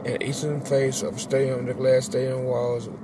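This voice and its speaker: A man in his forties reading a newspaper article aloud in a parked car, halting and restarting words, with his own asides. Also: medium pitch, level tone. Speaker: vlogger reading the news aloud. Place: inside a parked car at dusk. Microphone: phone held at arm's length. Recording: phone audio with clear car and road noise.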